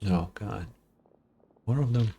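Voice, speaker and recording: A man's voice: fairly low pitch, slow pace. A man streaming a video game, giving casual, offhand commentary with sarcastic asides. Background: game music.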